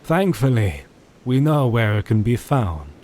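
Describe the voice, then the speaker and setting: Casting: male actor as solemn professor and monster hunter, formal period speech, unhurried, swooping delivery, slight rumble behind. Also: sing-song, darkish tone. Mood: grave, knowing. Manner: formal, portentous, commanding. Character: grave, learned male professor and vampire hunter. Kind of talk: audio drama acting, period speech.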